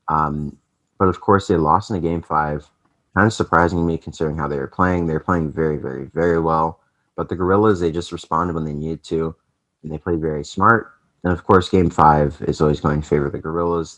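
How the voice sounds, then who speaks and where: Brief: young male esports vlog host, extremely low voice, rambling casual delivery, slightly off from allergies. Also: fairly bright tone. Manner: rambling, chatty, lots of fillers. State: voice affected by allergies.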